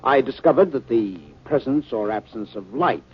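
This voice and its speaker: An actor playing an earnest, respectful young scientist explaining himself in 1950s radio drama style. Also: male voice, mid-range voice, expressive, normal speed, breathy edge. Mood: eager, earnest. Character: earnest, deferential young male scientist inventor. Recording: muffled 1950s radio recording.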